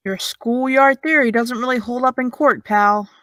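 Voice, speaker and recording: A woman's voice: on the high side, moderate pace, close to an everyday voice. Woman acting sardonic young American woman, Eve. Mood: sarcastic, cutting. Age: young adult. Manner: dry, teasing, deadpan. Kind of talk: audio drama dialogue.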